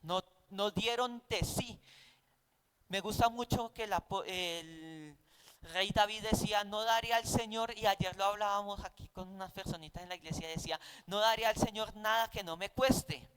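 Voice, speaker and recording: A man's voice: high voice, fairly even intonation, normal speed, a bit bright, smooth. A man with a Colombian accent teaching a Bible class in a didactic, conversational way, often posing rhetorical questions.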